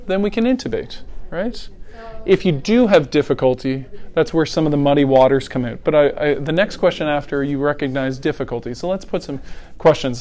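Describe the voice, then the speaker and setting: Man, middle-aged, paramedic instructor, lecture, conversational, slightly high voice, rhetorical questions. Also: a little mellow. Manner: conversational, asks rhetorical questions, acts out scenarios. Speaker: paramedic educator. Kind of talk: teaching lecture on airway decisions.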